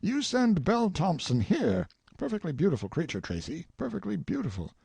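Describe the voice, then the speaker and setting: A boastful old colonel who rambles on in a raised, swooping voice, as a man puts him on in an audiobook. The tone stays gravelly and husky underneath, and the recording is dry and close. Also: a little high, huge pitch swings, normal speed, dark tone, dry recording, voice pushed up, heavily acted. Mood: delighted. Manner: effusive, rambling, exclamatory. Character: boastful eccentric older male colonel. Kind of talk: audiobook character reading.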